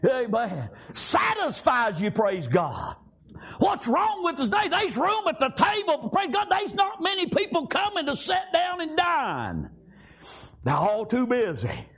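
A man preaching a fiery revival sermon in a church, shouting and dotting his lines with "praise God" and "amen.". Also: very high voice, wildly swooping pitch, a little slow, vocal fry.

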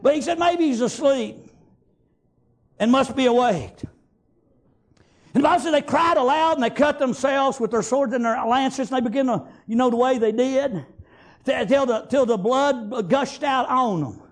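High-pitched Southern US preacher man. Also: moderate pace. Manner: folksy, exclamatory, repeats praise God and amen as punctuation. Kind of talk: fervent extemporaneous sermon with Bible reading and retelling.

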